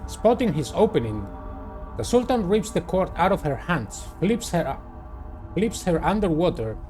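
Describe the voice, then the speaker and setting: Male game narrator, slightly high register, theatrical horror-host delivery with formal phrasing and some hesitation, music underneath. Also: big pitch swings, measured pace, a little mellow. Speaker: host of a gaming channel, narrator. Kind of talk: playthrough commentary and read-aloud visual novel narration.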